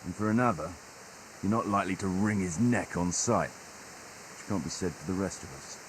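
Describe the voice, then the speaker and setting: An actor playing a gruff, wary patrol chief in a medieval video game, speaking bluntly and with command. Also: male voice, deep voice, moderate pace, slight background noise, lightly acted, poor audio. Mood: scornful, wary. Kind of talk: naturalistic video game acting.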